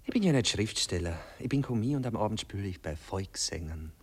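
An actor playing a vain, rapturous poet wooing his lover in an Austrian accent, theatrical and breathy, in a 1960s radio play. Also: on the low side, lively intonation, a little fast. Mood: wistful. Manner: theatrical, breathy murmurs, swooning declamation.

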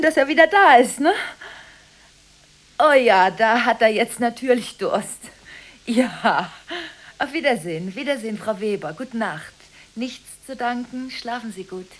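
An actress playing a volatile, emotionally contradictory married woman making frantic phone calls in a radio drama, swinging abruptly between hushed and agitated delivery. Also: a little high, rough recording. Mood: effusive, polite. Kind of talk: 1980s German radio drama monologue.

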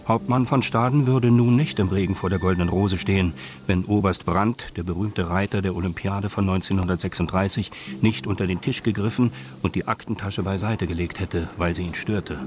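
A man's voice: sits low, steady tone, brisk, few pauses, a little mellow. A man reading scripted narration for a crime radio drama.

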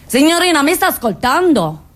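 A man's voice: extremely high pitch, very animated, normal speed, a bit bright, voice pushed up, heavily acted. Officious, scornful male cop for a stage monologue, bureaucratic and curt played by a woman. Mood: stern, officious. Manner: officious, reeling off figures.

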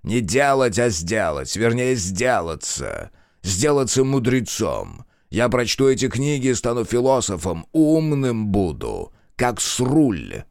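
Dim cave king, voiced by a man: storybook fantasy, self-important, wistful, slow drawn-out words. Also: medium pitch, big pitch swings, gravelly, darkish tone, dry recording, clean studio sound, full character voice. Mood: pompous. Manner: pompous, drawn-out, sighing.

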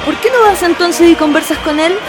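A woman's voice: very high voice, a little fast. A woman playing a prim, easily embarrassed young woman who teases and scolds her friend in a radio play. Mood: impatient. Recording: music and crowd noise behind. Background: band music and crowd.

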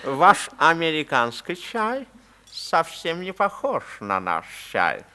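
Radio play diplomat, ultra-polite, slow and bright, pitch wandering all over. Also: male voice, wildly swooping pitch, smooth, full character voice. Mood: courteous. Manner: slow, elaborately courteous. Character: polite male Japanese embassy secretary. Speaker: embassy secretary.

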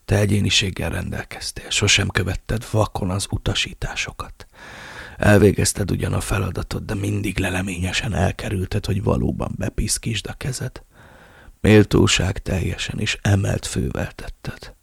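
A dying old crime boss, put on by a male narrator, speaking in a faint, breathy near-whisper with pride still in it. The voice is deep, gravelly and raspy, and the sound is close and dry. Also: fairly even intonation, no room sound, exaggerated voice. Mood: weak whisper.

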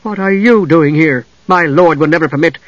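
A male narrator voicing a flustered young male mage who speaks in hurried, cross bursts. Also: a little high, expressive, no echo, highs cut off. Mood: alarmed. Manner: hurried, cross, then soft.

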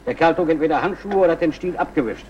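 An actor playing a brisk, cocksure police investigator in a 1950s radio drama. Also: male voice, on the high side, darkish tone, lightly acted. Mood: sure, dismissive. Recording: dull 1950s radio recording, faint noise.

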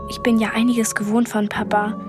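A woman's voice: fairly high pitch, flat delivery, brisk, over music, close to an everyday voice. An actress playing a plucky, curious twelve-year-old girl in a radio play, direct and insistent. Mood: wry narrating. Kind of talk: radio play acting and narration.

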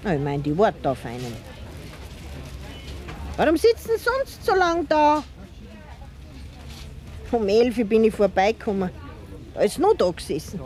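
Bavarian woman gossiping, pitch swings all over, very colloquial and emphatic. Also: very animated, a little slow, pauses often, darkish tone. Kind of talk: casual gossipy chat.

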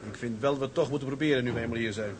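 A practical ship's officer voiced by a man in a radio drama, talking fast at a middle pitch. He sounds brisk, determined and matter-of-fact over noisy ambience. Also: lightly acted. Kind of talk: Dutch radio play acting.

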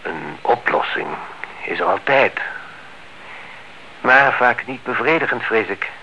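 Muffled radio play: courteous, genteel gentleman, sharp bright tone, deliberate phrasing. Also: medium pitch, expressive, heavily acted. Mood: wistful. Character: polite, genteel married man, quietly discontented neighbour. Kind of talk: radio play acting in Dutch. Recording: muffled old radio recording, faint noise.

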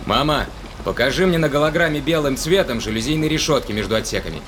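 An actor in an audio drama playing an aggressive, impatient spaceship captain. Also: male voice, mid-range voice, animated, quick pace, background noise, natural voice. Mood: tense, puzzled. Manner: commanding, impatient. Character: aggressive, hot-headed male spaceship captain on the hunt. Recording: loud music and effects behind voice.

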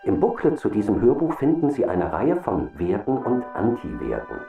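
A woman's voice: very deep voice, wildly swooping pitch, normal speed, gravelly, heavily acted. A woman reading formal, scripted instructions as a self-help audiobook narrator. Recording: muffled, echoey, distant, music behind.

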